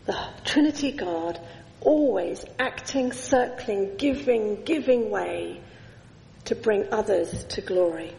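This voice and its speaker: A middle-aged woman preaching a sermon in a church, measured, with slow emphatic lists. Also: mid-range voice, creaky, dark tone, slight background noise. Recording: echoey church hall.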